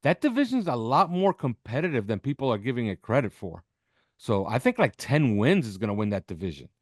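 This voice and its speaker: A man's voice: medium pitch, sing-song, a little fast, dry recording. A man with a mid-range voice breaks down football as a podcast guest, unscripted and emphatic. He punctuates his points with short check questions. The sound is dry and close, with very little room on it. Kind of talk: unscripted sports analysis.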